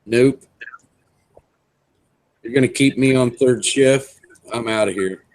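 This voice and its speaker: A man in his fifties hosting a casual online video hangout, chatting in an easygoing way with drawn-out fillers over a computer mic. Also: mid-range voice, a little mellow, rough recording. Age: 50s. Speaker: host.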